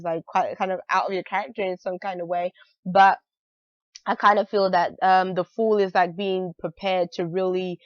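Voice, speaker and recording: A woman with a cold and a raspy voice, chatting casually through a monthly tarot reading, full of "um" and "like". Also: medium pitch, no echo, lo-fi sound. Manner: chatty, many fillers like "um" and "like". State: has a cold, raspy voice, clearing throat. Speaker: tarot reader.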